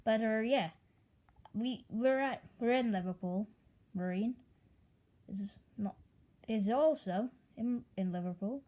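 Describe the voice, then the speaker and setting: A young woman with an American accent reads off football scores in a relaxed, chatty way. Her voice sits a little high but sounds soft and mellow. She tosses in dry, offhand asides as she scrolls through the results. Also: takes it slowly, long pauses. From Italy. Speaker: sports news presenter. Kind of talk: casual football results roundup.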